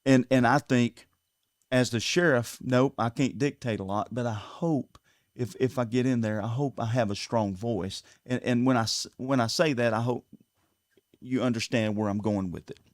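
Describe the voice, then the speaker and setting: A man with a Southern US accent talks in an earnest, plain way, often restarting and repeating words as he lays out his points. His voice sits at a middle pitch. The sound is dry and close, with no room echo. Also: moderate pace. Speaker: sheriff candidate. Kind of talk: casual interview talk. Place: local TV studio.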